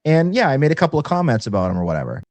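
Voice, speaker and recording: A man talking casually as a guest on a talk show, somewhat halting as he restarts his phrases. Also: on the high side, very animated, a little mellow. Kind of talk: casual chat.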